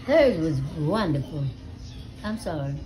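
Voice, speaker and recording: An elderly American woman telling stories casually to family, with a salty, animated manner, recorded on a phone at home. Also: sits low, measured pace. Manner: animated, salty, acts out dialogue with swearing. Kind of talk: casual storytelling among family. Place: small living room at home. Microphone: phone held near her.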